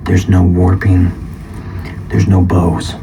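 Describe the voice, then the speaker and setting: A soft-spoken man doing an ASMR roleplay as a guitar shop appraiser, calm and measured, at times slipping into a whisper. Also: deep voice, noisy background.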